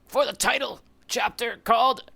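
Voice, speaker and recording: A man co-hosting a podcast, casually recapping a story in a halting way with frequent "you know" asides. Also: very high voice, gravelly, sharp tone, breathy edge. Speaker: podcast co-host recapping a manga chapter.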